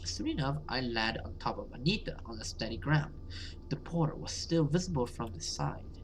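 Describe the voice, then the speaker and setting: A man's voice: mid-range voice, lively intonation, moderate pace, a little hiss or hum, low-quality recording. A man giving casual let's play commentary and reading game text aloud. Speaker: gaming video host.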